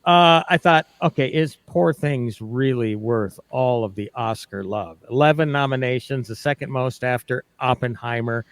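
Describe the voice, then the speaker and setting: A man's voice: mid-range voice, normal speed, hardly any pauses, clean tone, no room sound. Chatty man, dry studio sound. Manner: talkative, restarts often. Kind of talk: casual radio chat.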